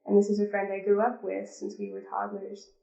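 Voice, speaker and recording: A woman's voice: mid-range voice, completely flat delivery, a little fast, creaky, mellow. A woman in her thirties from the Pacific Northwest, chatting as a guest in an interview, talking with lots of ums and restarts. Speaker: guest, behavior analyst. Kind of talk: casual interview talk. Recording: lo-fi, roomy call audio.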